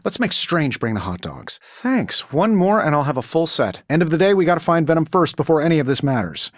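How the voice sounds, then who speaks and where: Wisecracking billionaire inventor superhero, American accent, highish animated voice, sarcastic, glib, video game banter. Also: male voice, brisk, no echo, studio quality. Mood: confident.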